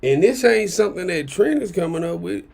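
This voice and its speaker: A man with a raspy baritone ranting in casual commentary, blunt and profane, driving points home with repeated "okay?". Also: big pitch swings, fairly quick, darkish tone. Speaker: commentary channel host.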